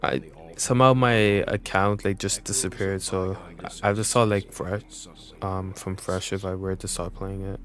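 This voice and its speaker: Rambling low-voiced male game streamer. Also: little pitch movement, moderate pace, a bit bright. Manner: rambling, slangy, informal. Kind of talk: casual chat while gaming. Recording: game music behind voice.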